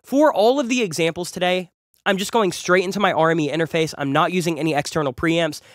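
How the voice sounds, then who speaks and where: A young man talks quickly and eagerly through a gear review, doubling words when he gets excited. His voice is a little nasal from a cold and sits fairly high for a man. The sound is close and dry, like a treated home studio. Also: no echo. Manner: enthusiastic, chatty, repeats words for emphasis. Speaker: music producer and YouTube host. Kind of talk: casual gear review talk. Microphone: large studio condenser close up.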